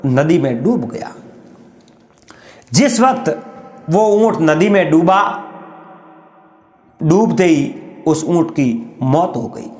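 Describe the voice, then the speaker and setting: Guy telling a village folk tale, slow drawn out delivery, keeps saying friends, high voice. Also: echoey room. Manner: addresses listeners as friends, unhurried and drawn out. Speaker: storyteller. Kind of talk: folk story narration.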